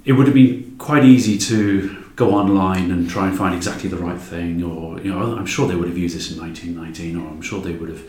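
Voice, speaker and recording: Deep-voiced enthusiastic man, echoey room. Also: steady tone, brisk, few pauses, a little mellow. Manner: enthusiastic, emphatic. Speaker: podcast guest, drama creator. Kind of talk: casual chat. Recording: echoey room with noticeable background ambience.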